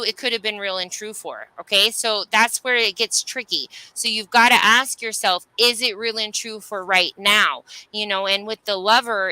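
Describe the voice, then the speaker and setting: Bright, sharp-voiced woman in dry sound. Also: medium pitch, dry recording, rough recording. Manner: often checks in with tag questions. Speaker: spiritual reader, co-host. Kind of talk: intuitive spiritual reading, casual coaching talk.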